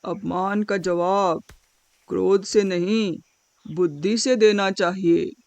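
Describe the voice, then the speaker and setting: A woman voicing a calm, wise, dignified male stork with a polite, measured and gentle manner in an animal fable. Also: mid-range voice, dry recording. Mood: quietly resolute.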